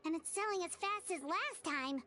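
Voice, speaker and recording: A woman voicing a small, excitable, childlike fairy companion in a video game, with a chirpy delivery. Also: very high voice, moderate pace, slight background noise, full character voice. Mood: excited, curious. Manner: chirpy, refers to self in third person.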